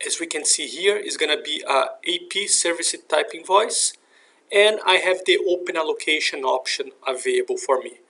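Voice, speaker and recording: A man giving a step-by-step software tutorial in an explanatory, instructional way. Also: a little high, normal speed. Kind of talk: scripted software tutorial narration.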